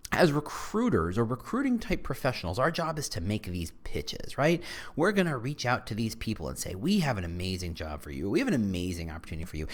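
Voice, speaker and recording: A middle-aged man hosting a casual solo podcast, rambling with frequent restarts and asides. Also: medium pitch. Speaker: podcast host.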